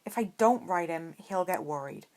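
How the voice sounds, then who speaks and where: A woman's voice: on the low side, animated, normal speed, dark tone. A woman voicing a stubborn, sarcastic schoolboy wizard with dry retorts in an audiobook-style story. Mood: defensive, casual.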